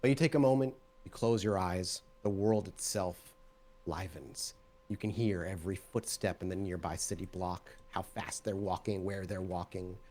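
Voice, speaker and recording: A man's voice: sits low, normal speed, a little mellow. A man narrating a tabletop roleplaying game as game master, describing scenes directly to the players.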